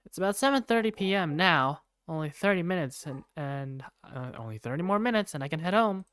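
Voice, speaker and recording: A woman reading first-person narration and lead lines for a game fandub in an expressive, conversational way. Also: lowish voice, a little fast, no room sound, clean studio sound. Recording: faint music behind the voice.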